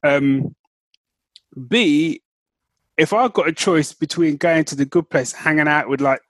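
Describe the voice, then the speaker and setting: A man co-hosting a podcast, chatting casually in an animated, slangy way. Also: fairly high pitch, measured pace, dry recording, poor audio. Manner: animated, informal, slangy, swears freely. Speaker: podcast co-host. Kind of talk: casual chat.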